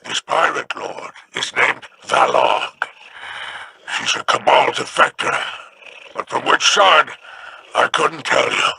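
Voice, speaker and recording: A gravelly sly alien crime lord. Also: male voice, mid-range voice, animated, bright, forceful tone, exaggerated voice, poor audio. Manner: wry, insinuating, unhurried. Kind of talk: video game voice acting.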